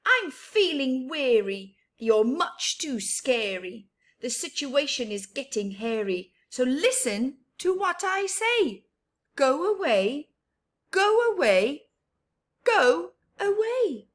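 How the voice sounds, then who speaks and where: A woman voicing a grumpy female bird from a storybook who shouts at everyone to go away, shrill and slow. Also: big pitch swings, full character voice. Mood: cross, shouting.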